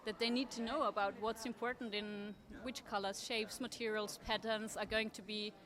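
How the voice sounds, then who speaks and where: A woman from Austria, answering interview questions in a thoughtful, measured way at a table at a design fair. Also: fairly high pitch, fairly even intonation, a crowd in the background. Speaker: interview guest, trend forecaster. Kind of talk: conversational interview.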